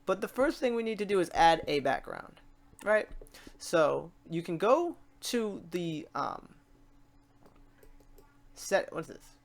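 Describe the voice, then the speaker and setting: An informal tutorial host with a middling pitch walks viewers through software step by step. The delivery is animated and slangy, often slipping into acted-out questions and exclamations from a learner. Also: slow pace, pauses often.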